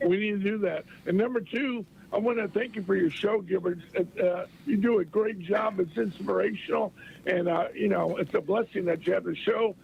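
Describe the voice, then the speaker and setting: A man's voice: high-pitched, moderate pace, vocal fry, slight background noise. A man calling in to a radio show, upbeat, counting off his points one by one. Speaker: caller. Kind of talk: call-in radio talk. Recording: dull lo-fi phone-in line.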